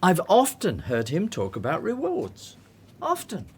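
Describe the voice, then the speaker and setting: Worldly sarcastic disciple for radio drama, grumbly and jokey, voice swings all over the place. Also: male voice, high voice, huge pitch swings, a little slow, breathy edge, heavily acted. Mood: scornful, grumbling. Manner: sardonic, joking, grumbling.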